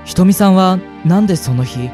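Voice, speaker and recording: A young man playing a gentle, sentimental university student in a radio drama, with an earnest, polite manner. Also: high-pitched, animated, fairly quick. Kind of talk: radio drama acting with narration. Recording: music bed under the voice.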